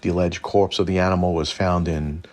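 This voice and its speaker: A man with a gravelly, husky voice narrating lore informally, with a wry, irreverent manner. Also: sits low, flat delivery, fairly quick, a little mellow. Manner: irreverent, wry, mildly profane. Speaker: host.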